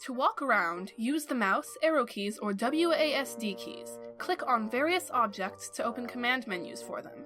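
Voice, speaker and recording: Higher-voiced woman narrating an audio drama. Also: expressive, normal speed, few pauses, fairly bright tone, soft background music.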